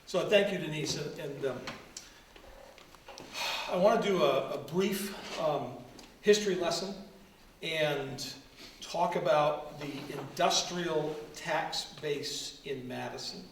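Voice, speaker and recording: A man who is a county administrator, giving a relaxed, joking presentation at a town meeting. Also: on the high side, slow pace. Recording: echoey hall, far from mic, clear noise.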